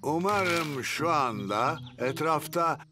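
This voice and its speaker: A man voicing a playful grandfather figure guarding treasure in a children's cartoon, with a mock-pompous, deliberate manner. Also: on the high side, expressive, over music. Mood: mock suspicious. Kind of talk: children's cartoon dub acting.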